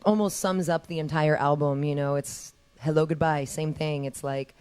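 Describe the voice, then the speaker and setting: A woman's voice: low voice, steady tone, normal speed, a little mellow, clear, resonant tone. A woman musician chatting casually in a TV studio interview, easygoing and sprinkling in French words. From Texas, USA. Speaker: musician guest.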